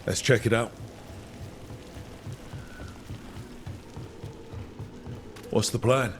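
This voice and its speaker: A man voicing a loyal, easygoing young adventurer who banters gently in a fantasy video game. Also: on the low side, brisk, soft background music, lightly acted. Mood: inquisitive, ready.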